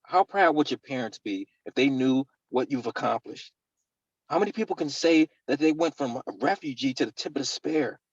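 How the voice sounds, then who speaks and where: A man acting a worried military captain in a script read-through, with a raspy mid-pitched voice. He pleads earnestly and urgently, holding himself back, on a slightly muffled recording. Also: expressive, normal speed, no echo. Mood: sorrowful, earnest. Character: worried military captain pleading with his brother-in-arms, male. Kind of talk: dramatic script table read.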